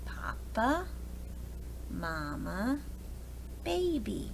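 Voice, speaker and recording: Very slow, animated woman reading a storybook. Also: mid-range voice, creaky, slight background noise. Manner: expressive, slow, with explanatory asides to children. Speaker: preschool read-aloud teacher.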